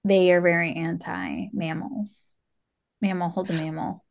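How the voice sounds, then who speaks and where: A woman's voice: lowish voice, fairly even intonation, unhurried, vocal fry. A woman co-hosting a podcast, chatting informally about a film and acting out bits as she retells them. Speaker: podcast co-host. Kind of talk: casual chat about a movie.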